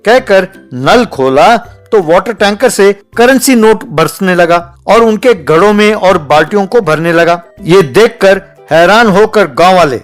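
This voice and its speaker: High-pitched man narrating a children's story. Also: animated, a little slow, few pauses, soft background music.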